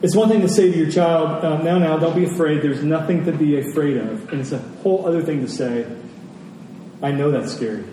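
A man's voice: fairly high pitch, fairly quick, warm tone. A man preaching a sermon to a congregation, speaking emphatically and repeating phrases for effect. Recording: very echoey hall, distant mic, faint noise.

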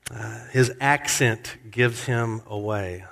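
A man's voice: slow, gravelly, fairly bright tone. A man preaching a sermon, walking through a passage verse by verse and acting out the quoted lines with a livelier voice. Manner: expository preaching, acts out quoted lines with rising pitch. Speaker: pastor. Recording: hall reverb.

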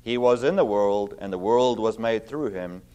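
A middle-aged man with a South African accent, giving a sermon as a guest preacher. His voice sits on the low side. He talks in an earnest way with plenty of ums, then reads scripture slowly and firmly. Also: dark tone, clean tone, lo-fi sound. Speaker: visiting preacher.

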